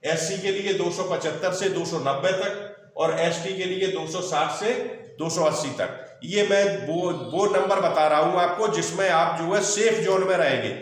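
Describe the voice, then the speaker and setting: A very echoey, distant recording of a man. His voice is high for a man. He explains point by point like a coaching teacher, instructive and reassuring, dropping English terms into his speech. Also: normal speed. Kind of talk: explanatory online lesson, point by point. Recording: very echoey room, distant mic.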